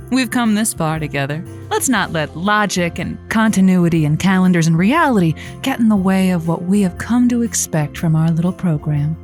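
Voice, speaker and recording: A woman's voice: medium pitch, darkish tone, lightly acted. A woman playing a playful, flirty late-night radio host, theatrical and teasing, in a comedic radio drama.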